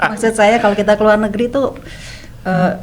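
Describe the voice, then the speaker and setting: A woman's voice: medium pitch, steady tone, brisk. A woman from West Sumatra guesting on a radio talk show. She speaks with conviction and laughs often, over a faint hiss of studio noise. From West Sumatra, Indonesia. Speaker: guest, food blogger group founder. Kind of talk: casual radio interview.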